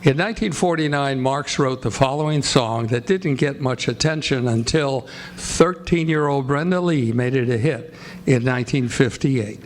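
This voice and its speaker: A man's voice: medium pitch, moderate pace. A man reading scripted announcements in old-time radio style as master of ceremonies for a live audience. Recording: noisy live stage recording with audience. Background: live theatre audience.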